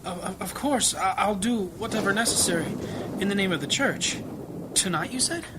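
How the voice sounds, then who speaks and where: A man's voice: sits high, expressive, moderate pace. An actor playing a weary, anxious old priest in a fantasy audio drama, humble and hesitant, with sighs in his voice. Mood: flustered, humble. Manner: hesitant, stammering when flustered. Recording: clear background ambience noise.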